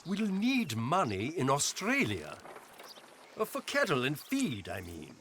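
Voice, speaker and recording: An actor playing a prim, evasive moneylender and bookkeeper in a video game, with halting but persuasive speech. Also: male voice, a little high, huge pitch swings, fairly bright tone, a little hiss or hum, exaggerated voice. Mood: coolly prodding. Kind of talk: video game cutscene acting.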